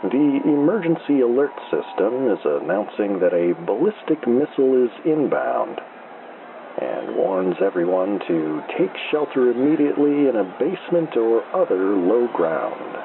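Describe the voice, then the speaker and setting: Drowsy, gravelly old-radio baseball announcer. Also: male voice, mid-range voice, unhurried. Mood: calm, unruffled. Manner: calm, laconic, drowsy, unruffled. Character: calm, laconic male small-town radio baseball announcer. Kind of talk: slow minor-league baseball radio play-by-play. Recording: dull noisy AM-style baseball radio broadcast.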